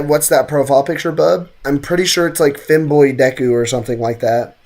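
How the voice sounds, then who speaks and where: A woman's voice: sits low, steady tone, brisk, darkish tone, soft background music. A woman streaming a game, chatting casually and trading slangy, joking banter with viewers. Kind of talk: casual livestream chat and game commentary.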